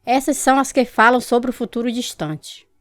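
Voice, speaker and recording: A woman's voice: fairly high pitch, moderate pace, no room sound, clean studio sound, lightly acted. An actress playing a confident, insistent spiritualist mother in a Brazilian radio drama, speaking in an assured, instructive way. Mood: assured, coaxing.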